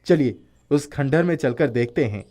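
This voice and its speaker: A man's voice: medium pitch, expressive, normal speed, mellow, natural voice. A man voicing a brave, courteous young prince in a fairy tale, speaking formally and earnestly. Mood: wary, protective. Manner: formal, gallant, earnest delivery.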